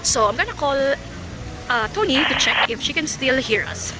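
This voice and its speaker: A woman's voice: high-pitched, sing-song, normal speed, over loud music, rough recording. A woman with a Filipino accent, a native Tagalog speaker, giving casual vlog commentary. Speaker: vlogger reviewing a product.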